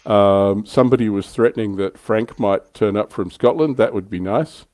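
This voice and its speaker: A man's voice: on the low side, normal speed, a little mellow, no echo. A man hosting a livestream in a casual, chatty and informal way. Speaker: livestream host.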